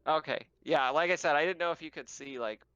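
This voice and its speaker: Male game master, casual and chuckly, clean smooth tone, dry close room, slightly muffled lo-fi online sound. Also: fairly high pitch, brisk, fairly bright tone, no echo. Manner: chuckles often while talking. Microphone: online voice call.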